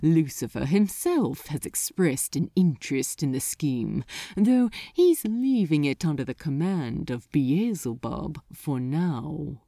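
A woman voicing an imperious male demon lord in an audiobook, grandly menacing and silkily condescending, with a grave, deliberate delivery. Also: deep voice, very animated, creaky, dark tone, no echo, studio quality, pitched below the performer's own voice, full character voice. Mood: impatient. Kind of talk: dramatic audiobook character reading.

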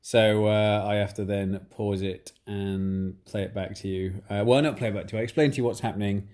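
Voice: low-pitched, steady tone, fairly quick, a little mellow. A podcast co-host from the English Midlands, teasing dryly in casual chat.

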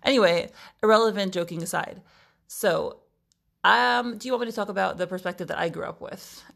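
A woman's voice: mid-range voice, brisk, gravelly, fairly bright tone. A woman, chatting casually in a playful, wry, articulate way as a podcast co-host. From Brooklyn, New York, United States. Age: 30s. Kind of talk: casual chat.

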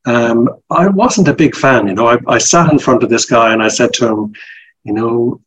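A man's voice: mid-range voice, moderate pace, poor audio. A middle-aged man with a Dublin Irish accent, chatting as an interview guest in a rambling, hesitant way full of ums and restarts. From Ireland. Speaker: guest, company director. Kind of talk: casual interview chat.

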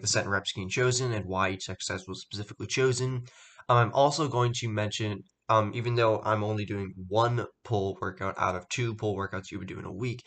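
A young man with a somewhat low voice hosts his own podcast, stuffed up and a little nasal from a cold. He rambles casually, with plenty of ums and restarts along the way. The sound comes through a poor-quality microphone. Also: a bit bright. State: stuffed up nose. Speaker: podcast host. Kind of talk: casual solo podcast talk.

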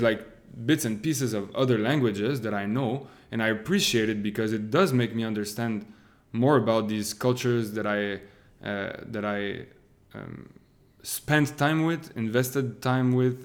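Thoughtful man, halting. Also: on the low side. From Quebec. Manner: thoughtful, halting with many restarts. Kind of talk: casual conversation.

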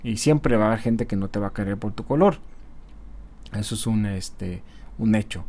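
A man who markets on social media, giving a casual motivational talk to his channel's viewers. He speaks conversationally, often checking in with tag questions and pausing on hesitation fillers. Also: lowish voice, a little mellow.

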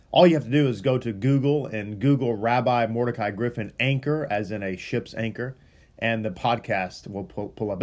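A man's voice: medium pitch, darkish tone. A man from Texas, a rabbi casually welcoming a livestream audience before a Torah reading, earnest and rambling, often stumbling and repeating words.

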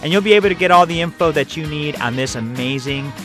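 A man's voice: a little high, fast, music in the background. A man hosting a health podcast, reading a promo and introducing a guest in an upbeat, promotional way. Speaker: podcast host.

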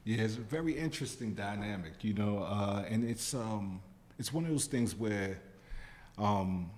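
A man hosting a podcast in casual chat, halting and repeating himself with many fillers. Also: fairly low pitch, lively intonation, a little mellow, big-room sound. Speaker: podcast host.

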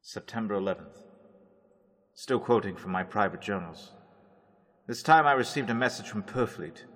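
Husky man narrating a radio drama. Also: lively intonation, a bit bright. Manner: low, measured reading of a journal. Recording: long reverb, faint music.

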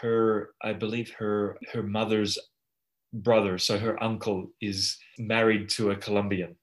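Man, lowish voice, easygoing chat partner who encourages the other speaker. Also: flat delivery, measured pace, poor audio. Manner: encouraging, lots of okays and restarts. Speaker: conversation partner. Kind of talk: casual conversation.

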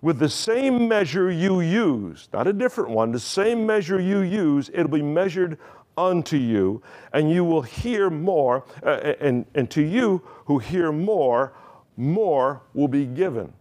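A man's voice: fairly high pitch, lively intonation, normal speed, darkish tone. A male pastor preaching a sermon in a conversational way, asking the congregation questions and often restarting his phrases.

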